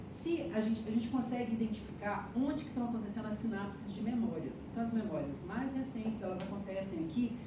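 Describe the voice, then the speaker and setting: A woman giving a classroom lecture, explaining step by step and checking that her students follow. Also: medium pitch. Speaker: lecturer teaching hypnosis and neuroscience. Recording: echoey room, distant mic, audible noise.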